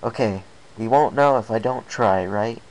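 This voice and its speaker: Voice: mid-range voice, moderate pace, a little mellow, a little hiss or hum, close to an everyday voice, poor audio. A performer voicing an earnest young male pegasus pony in a storybook reading, anxious and a little hesitant. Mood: resolved.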